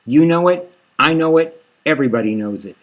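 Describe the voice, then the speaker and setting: A man voicing a disdainful, brutish cop who presses a suspect in a dark satirical audio novel. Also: fairly high pitch, lively intonation, brisk, voice pushed up, lightly acted. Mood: insistent. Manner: pressing, confrontational.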